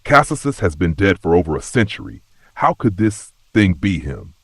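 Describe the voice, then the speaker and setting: A defiant, short-tempered fanged investigator acted by a man in a dark supernatural audio story. The delivery is tense and growling, husky in tone, with pitch that jumps about sharply. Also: very animated, normal speed, dry recording, studio quality, full character voice. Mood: tense disbelief.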